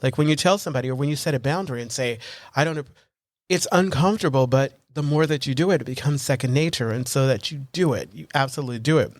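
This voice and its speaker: A man co-hosting a recovery podcast in casual chat, talking in an animated, rambling way full of restarts and self-deprecating humor. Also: mid-range voice, quick pace, no echo. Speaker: podcast co-host.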